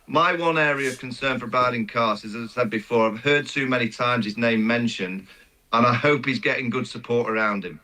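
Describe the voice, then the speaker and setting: A man discussing sport conversationally as a pundit, repeating words for emphasis in a halting way. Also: medium pitch, bright, forceful tone, rough recording. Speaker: cricket pundit.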